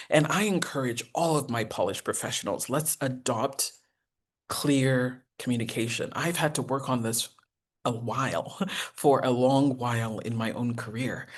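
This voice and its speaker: A woman, teaching a professional etiquette masterclass in an earnest, encouraging way with deliberate enunciation. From United States. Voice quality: deep voice. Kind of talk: masterclass lecture.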